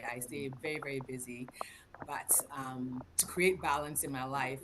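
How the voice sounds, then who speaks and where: A woman in her forties from Ghana, a guest presenter speaking over a video call, lively and laughing often between fillers. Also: sits low, background noise.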